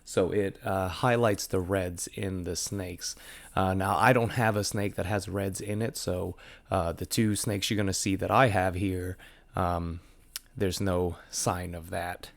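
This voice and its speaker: A man with a very low voice made raspy by a sinus infection. He talks in a relaxed, unscripted way, filling pauses with ums and uhs as he shows off his snakes. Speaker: hognose snake breeder. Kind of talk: casual explanatory show-and-tell.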